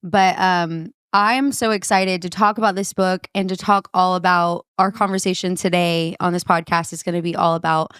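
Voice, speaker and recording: Enthusiastic podcast host, smooth low voice. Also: female voice, little pitch movement, fairly bright tone, dry recording, clean studio sound. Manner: enthusiastic, gushing, quick. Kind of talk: casual podcast chat.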